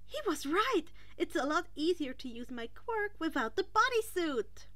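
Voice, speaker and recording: A woman voicing an excitable, dramatic superheroine with a gushing, squealing delivery in a fan fiction reading. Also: very high voice, unhurried, voice pushed up, exaggerated voice. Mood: excited, gushing.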